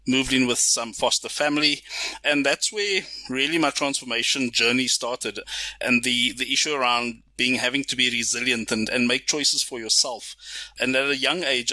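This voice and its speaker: A middle-aged South African man with a South African accent, a podcast guest telling his life story in a measured, reflective way. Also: mid-range voice, pushed and bright, no room sound, rough recording. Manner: measured and reflective, frequent restarts. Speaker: guest, business school coach. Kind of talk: casual podcast chat.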